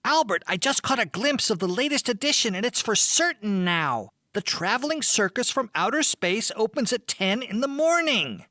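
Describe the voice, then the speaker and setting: Man voicing an eager, high-voiced youngster. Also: big pitch swings, moderate pace, hardly any pauses, bright, forceful tone, no room sound, clean studio sound, heavily acted. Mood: excited. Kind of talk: audiobook character acting.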